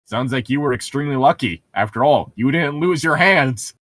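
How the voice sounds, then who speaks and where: A husky voice with a lively, expressive swing, recorded close and dry. The delivery is casual and teasing, moving between reading aloud and joking commentary. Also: medium pitch, normal speed, no echo. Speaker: podcast co-host reading a novel aloud with commentary.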